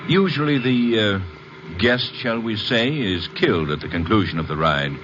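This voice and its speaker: A suave, threatening gang boss played by a man, low-pitched with a dramatic melodic swing. His words are formal and polite, on a muffled, noisy radio recording. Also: lively intonation, natural voice. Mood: polite menace. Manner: measured, courteous, formal menace. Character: smooth, coldly menacing male crime boss. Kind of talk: 1940s-50s radio detective acting.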